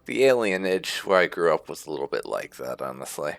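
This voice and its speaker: A man playing a roleplay game and talking in character, casual and musing, with hums and drawn-out words. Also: very deep voice, big pitch swings, vocal fry, a bit bright, no echo. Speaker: gamer roleplaying as his character. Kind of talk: casual let's play commentary, speaking in character.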